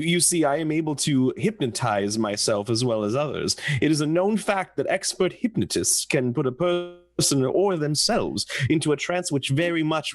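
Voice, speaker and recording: A menacing male mastermind villain from a radio play, acted out by a man, speaks with formal condescension. His delivery is animated in pitch and sits in a dry, close space. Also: dry recording, lightly acted. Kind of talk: amateur reading of an old radio play.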